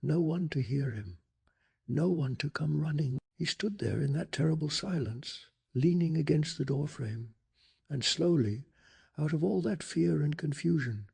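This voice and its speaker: A man softly narrating a bedtime story in ASMR style, hushed and calming, with a breathy, gravelly voice. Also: fairly high pitch.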